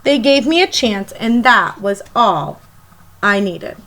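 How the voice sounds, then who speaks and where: A woman teacher reading a picture book aloud to children in an expressive, emphatic storytelling manner. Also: a little high. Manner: animated, emphatic, pauses to question young listeners.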